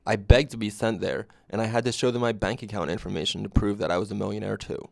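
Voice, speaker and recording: A man narrating a scripted first-person story in a deadpan way. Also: on the low side, fast talker, creaky, no room sound, clean studio sound.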